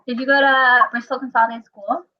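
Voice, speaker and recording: A young woman hosting a casual interview, chatty, teasing and quick to laugh. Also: sits high, level tone. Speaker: interview host. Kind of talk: casual video-call interview. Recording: muffled lo-fi video call audio.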